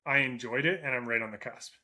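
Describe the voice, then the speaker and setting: A man's voice: mid-range voice, quick pace. Chatty Canadian man coaching runners. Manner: animated, acts out runners' thoughts in quoted asides; ends points with "right?". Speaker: running coach and host. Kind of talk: casual coaching chat over a call.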